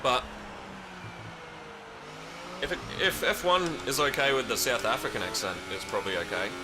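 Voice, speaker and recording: A man's voice: medium pitch, very animated, normal speed. A young Australian man streaming a racing game, chatting loosely with viewers and swearing casually as he drives. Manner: rambling, chatty, swears casually, answers chat. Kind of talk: casual live-stream chat while racing. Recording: racing game audio behind voice. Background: game engine noise.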